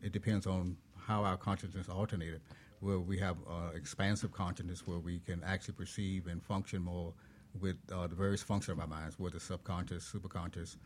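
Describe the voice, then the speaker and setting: A man speaking as a guest expert, explaining ideas in a reflective, measured, philosophical manner. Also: low voice, flat delivery, slight background noise.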